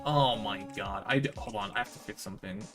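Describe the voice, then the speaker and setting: A man's voice: lowish voice, fast talker, music in the background. A man giving casual, slangy gaming livestream commentary, swearing and chatting to his viewers. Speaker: live streamer.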